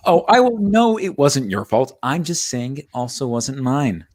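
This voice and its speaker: A man's voice: mid-range voice, lively intonation, a little slow, darkish tone, close to an everyday voice. A man playing a cocky, defensive washed-up smuggler in a comedic script read. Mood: defensive, cajoling. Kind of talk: comedic live table read of a film script.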